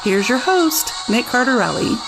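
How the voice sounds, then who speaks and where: A woman's voice: on the high side, sing-song, normal speed, low-quality recording. A woman reading a scripted podcast intro voice-over in a polished promotional way. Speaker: show announcer. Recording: voice-over over music bed. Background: music.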